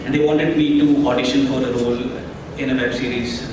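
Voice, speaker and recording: A man's voice: mid-range voice, flat delivery, a little fast, recorded from a distance. An actor telling the press about his role, friendly and light. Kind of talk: press conference answers. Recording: echoey hall, mic from afar, noisy.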